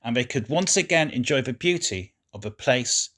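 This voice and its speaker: A man reading a children's story aloud in an expressive, deliberate way, as scripted narration. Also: mid-range voice.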